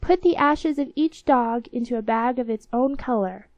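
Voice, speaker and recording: A woman's voice: a little high, normal speed, dry recording, pitched above the performer's own voice, natural voice. A woman voicing an old ragged beggar woman in a storybook tale, emphatic in the way she pleads and instructs. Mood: commanding, instructive. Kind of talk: storybook character voice.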